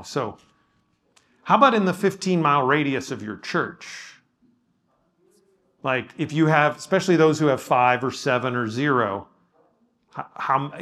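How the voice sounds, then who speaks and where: A man of ordinary pitch speaks as a pastor guiding a workshop discussion. He talks loosely and humbly, stumbling through restarts and filler sounds. His tone stays conversational as he asks the room questions. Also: a bit bright. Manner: casual, self-effacing, with frequent ums and restarts. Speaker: pastor leading a workshop session. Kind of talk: leading a group discussion. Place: room with a live audience.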